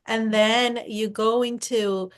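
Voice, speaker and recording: A woman hosting a podcast interview, talking in an animated way with many fillers. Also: a little high, slow. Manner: animated, filler-heavy talk. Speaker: podcast host.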